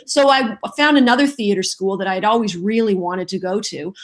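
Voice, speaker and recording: A middle-aged woman, a voice actress, chatting as a podcast guest about her start, telling stories in a lively, self-mocking way, just over a cold. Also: mid-range voice, brisk. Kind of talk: casual interview chat.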